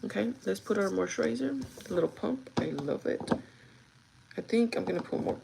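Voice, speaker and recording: A young woman with a gravelly, groggy voice chats casually and directly to her viewers, giving advice in an informal vlog style. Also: mid-range voice, animated, normal speed, heavy background noise. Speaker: vlogger chatting while doing makeup.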